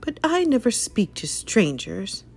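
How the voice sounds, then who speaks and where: A woman's voice: a little high, big pitch swings, measured pace, creaky, husky, faint music behind, voice pushed up, heavily acted. Woman voicing a prim, lilting little lady spaniel. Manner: polite, prim. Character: sweet, proper female pet spaniel, innocent.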